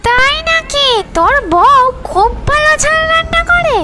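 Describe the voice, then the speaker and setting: A woman's voice: very high-pitched, expressive, unhurried. A woman voicing a menacing, greedy female ghost in a cartoon fairy tale, with threatening, taunting delivery. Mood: suspicious.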